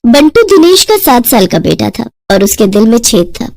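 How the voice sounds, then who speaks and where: A woman's voice: a little high, big pitch swings, moderate pace, gravelly, a little mellow, dry recording, clean studio sound. A woman narrating a scripted moral story as its storyteller.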